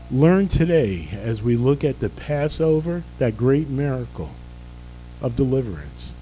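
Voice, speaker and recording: A man's voice: mid-range voice, warm tone, rough recording. Older pastor losing his voice. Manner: folksy, joking asides. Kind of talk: church service announcements and prayer. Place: church sanctuary.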